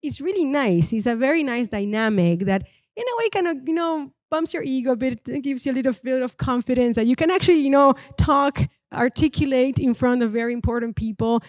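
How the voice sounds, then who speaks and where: A woman in her thirties with an Argentinian accent, a Spanish native speaker, talking on a live podcast panel. Her voice sits fairly high, and she pauses often to find the right word. The sound is close and dry. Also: expressive, darkish tone, no room sound, lo-fi sound. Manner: thoughtful, searching for words, many fillers. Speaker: podcast co-host.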